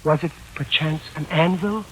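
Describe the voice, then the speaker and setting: An actor playing a burly, genial crook who speaks florid, over-formal English in a 1940s radio drama. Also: male voice, a little high, normal speed, fairly bright tone, natural voice. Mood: grandly genial. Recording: noisy 1940s radio recording.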